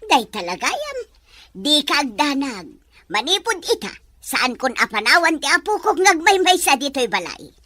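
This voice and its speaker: A woman plays an irritable, scheming grandmother in an audio drama. She scolds emphatically in a high voice that swings widely up and down. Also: sing-song, moderate pace, pushed and bright, exaggerated voice. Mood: brisk, wheedling. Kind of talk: Ilocano radio drama acting.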